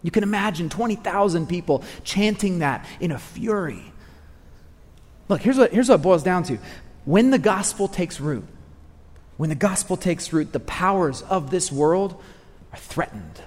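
A man's voice: sits high, animated, moderate pace, big-room sound. A man preaching a sermon, earnest and repeating phrases for emphasis.

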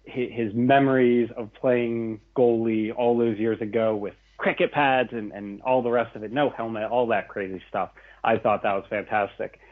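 A young man joins a podcast over a call, his voice thin and phone-like. He talks slowly and enthusiastically, with self-deprecating humour, at an ordinary middle pitch. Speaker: podcast guest. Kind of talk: casual podcast chat. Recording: tinny phone-like call audio.

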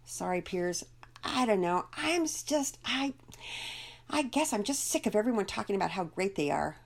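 Sulky young girl for a storybook, played by a woman: whiny, defensive, high and swooping. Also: lively intonation, moderate pace, vocal fry. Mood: hesitant, glum.

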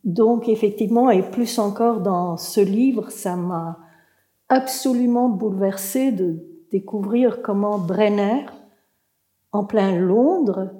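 Slow, thoughtful woman in a roomy space. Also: mid-range voice, warm tone. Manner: reflective, searching for words. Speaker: translator and author guest. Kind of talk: panel conversation. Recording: roomy echo.

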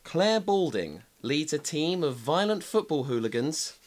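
A young man with a congested, bunged-up voice, chatting on a comedy podcast with dry, deadpan humor. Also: on the high side, a little slow. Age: young adult. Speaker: podcast panelist.

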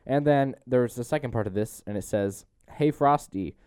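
A man's voice: mid-range voice, moderate pace, darkish tone, clear, resonant tone, no room sound, clean studio sound. A young man hosting a podcast in casual, joking chat. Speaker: podcast host.

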